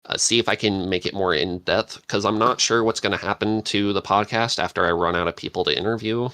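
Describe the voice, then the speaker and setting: A man's voice: lowish voice, steady tone, a little fast, sharp tone, dry recording. A man hosting a podcast in casual, unscripted chat, rambling along with enthusiasm. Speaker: podcast host and interviewer.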